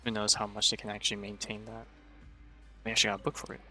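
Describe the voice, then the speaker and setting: A man's voice: fairly low pitch, monotone, fast. A man giving casual live commentary as he streams a game. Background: faint game music.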